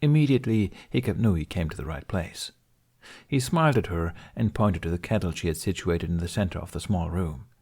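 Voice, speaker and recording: A man's voice: low-pitched, animated, a little fast, a little mellow, husky. A man reading scripted story narration as the narrator of an audiobook.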